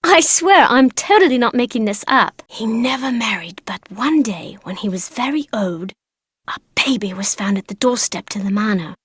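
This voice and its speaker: A woman's voice: on the high side, animated, moderate pace, a bit bright, breathy edge, no room sound, clean studio sound, heavily acted. A woman voicing a chatty, precocious young girl from an aristocratic family in a video game, with casual, slangy, teasing talk. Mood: hushed storytelling. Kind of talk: video game character dialogue.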